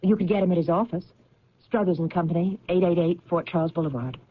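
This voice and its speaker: Old radio drama lady, actress playing an earnest stubborn wife, lowish husky voice, muffled recording. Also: fast talker, close to an everyday voice. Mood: earnest, insistent. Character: insistent married woman convinced her supposedly dead husband lives.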